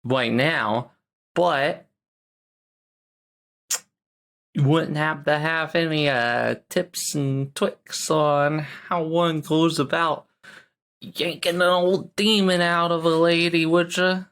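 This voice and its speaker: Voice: medium pitch, steady tone, takes it slowly, fairly bright tone. A player voicing a folksy, easygoing adventurer in a tabletop game, rambling and colloquial. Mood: hesitant, curious.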